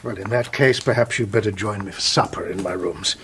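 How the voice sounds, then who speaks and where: A man's voice: lowish voice, brisk, fairly bright tone, a little hiss or hum. A man playing a weary but hospitable police inspector in a radio drama, genial and authoritative. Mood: disapproving, hospitable. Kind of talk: British radio drama acting.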